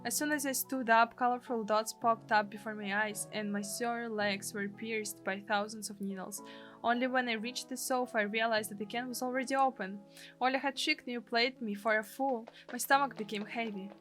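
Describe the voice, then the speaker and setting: A woman's voice: a little high, steady tone, normal speed, fairly bright tone, soft background music. A woman from Russia, reading a visual novel's story aloud expressively as a video host.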